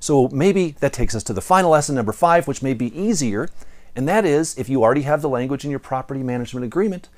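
A middle-aged American man presents to camera like a storyteller. His delivery is theatrical and emphatic, playful as he teaches, with a medium-pitched voice picked up on a lapel mic. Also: lively intonation, fast talker, darkish tone. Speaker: presenter of property management lessons. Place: indoor studio in front of a backdrop.

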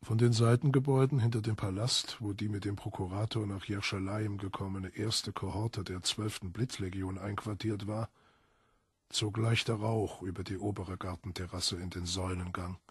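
A man's voice: on the low side, steady tone, moderate pace, slightly rough, no echo, clean studio sound. A man reading literary narration for an audiobook in a dramatic, scripted style.

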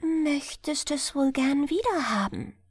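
A woman reading a bedtime fairy tale, voicing a mysterious, friendly water nixie with a light, coaxing, gently curious way of talking. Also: sits high, animated, slow pace, no echo, clean studio sound, faint music behind. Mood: sly, secretive. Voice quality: bright, light voice.

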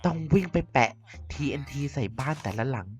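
A woman's voice: very deep voice, expressive, a little fast, soft background music. A woman voicing a mischievous ancient vampire queen in a Minecraft cartoon, playfully scheming and muttering to herself.

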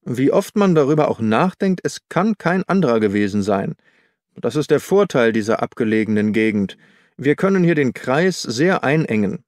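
A man acts out a stolid police inspector in a detective audiobook, troubled and deferential. He speaks plainly and earnestly at a medium pitch, with a dry, close sound. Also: very animated, moderate pace, a little mellow, no room sound, lightly acted. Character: solid, worried, deferential male police inspector.

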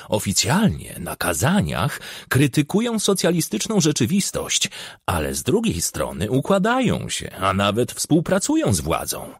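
Husky, expressive male audiobook narrator. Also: on the low side, no room sound, clean studio sound. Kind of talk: scripted narration of a crime novel.

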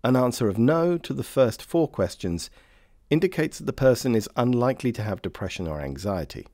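A man reading scripted instructional narration for a health training film in a formal, measured way. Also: medium pitch, animated, darkish tone, no room sound, studio quality.

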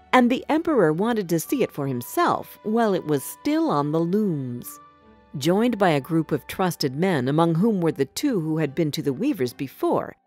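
A woman tells a children's fairy tale in a fairly low voice. Her scripted narration is measured and expressive, with lively rises and falls, and soft music plays behind her. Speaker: storyteller.

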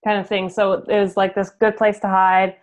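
A young Canadian woman chatting casually as an interviewed musician, halting and peppering her talk with "like", on a video call. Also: medium pitch, little pitch movement. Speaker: band musician being interviewed. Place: room at home. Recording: dull, lo-fi video call audio.

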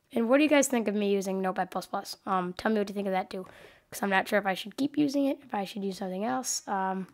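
Young woman, sniffly with a cold, hosting a loose desk-mic coding tutorial, rambling and self-correcting. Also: medium pitch. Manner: rambling, hesitant, many ums and self-corrections. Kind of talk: casual screen-recorded tutorial.

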